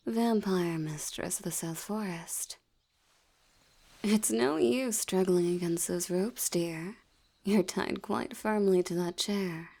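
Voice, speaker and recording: A woman's voice: lowish voice, slow pace, creaky, dark tone, full character voice. A woman voicing a seductive, teasing female vampire in an ASMR audio roleplay, often dropping into a whisper.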